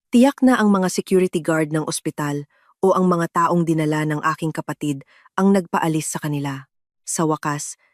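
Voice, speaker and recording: A woman's voice: lowish voice, a little mellow, dry recording, studio quality. A woman reading a scripted, dramatic first-person story as its narrator.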